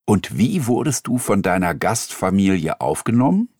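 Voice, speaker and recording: A man in a studio, reading interview questions in a scripted exam dialogue in a clear, announcing manner. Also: on the low side, very animated, moderate pace, a little mellow, no echo.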